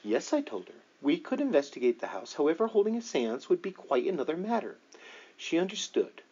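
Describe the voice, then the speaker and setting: A man narrating a book aloud in a deliberate, measured storytelling manner with dramatic emphasis. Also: fairly high pitch, huge pitch swings, mellow, slightly rough, muffled recording. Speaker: audiobook reader.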